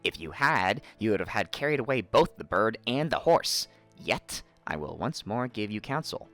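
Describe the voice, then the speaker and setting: A man's voice: on the low side, very animated, normal speed, a bit bright, soft background music. A man voicing a cunning old fox who gives wise, emphatic counsel in a storybook reading. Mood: firm, advising. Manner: instructing, emphatic.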